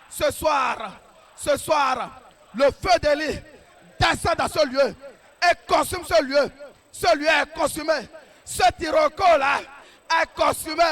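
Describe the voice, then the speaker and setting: A man preaching a fervent deliverance prayer, shouting declarations and repeating each phrase many times. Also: very high voice. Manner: repetitive declarations, fervent commanding tone.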